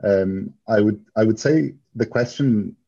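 A man from Greece answering as an interview guest, speaking in a halting, thoughtful, reflective way. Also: fairly low pitch, vocal fry, mellow. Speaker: interview guest, software engineer. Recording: dull, muffled lo-fi audio.

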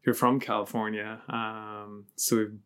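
A man's voice: lowish voice, little pitch movement, measured pace, clean tone. A man in his thirties from Lebanon, a university lecturer, chatting as a guest and laughing easily. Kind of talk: casual interview chat.

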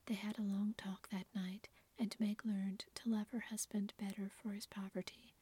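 A woman narrating a story entirely in a soft, unvoiced whisper, close to the mic in a quiet room. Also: medium pitch, little pitch movement, moderate pace, husky. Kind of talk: whispered ASMR story reading.

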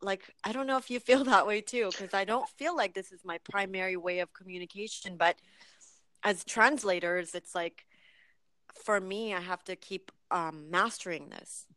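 A woman talking casually in a spiritual conversation, haltingly searching for her words as she goes. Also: medium pitch, sharp tone, dry recording, poor audio.

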